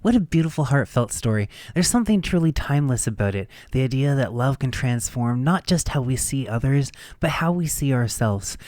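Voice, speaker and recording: A man's voice: medium pitch, animated, brisk, few pauses, a little mellow, dry recording. A man hosting a radio show, giving scripted commentary in a reflective, appreciative way. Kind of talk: scripted narration.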